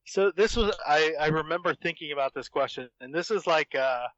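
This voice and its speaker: Bright, higher-pitched man bantering casually. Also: fairly quick, no echo, lo-fi sound. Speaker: podcast guest, fan fiction author. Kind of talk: casual group chat.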